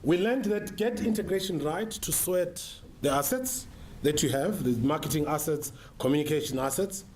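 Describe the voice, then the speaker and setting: A South African man giving a business conference presentation in a measured, emphatic way, pausing between short phrases. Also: a little high, animated, a little mellow. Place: conference room with an audience. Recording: roomy, echoing sound with faint noise.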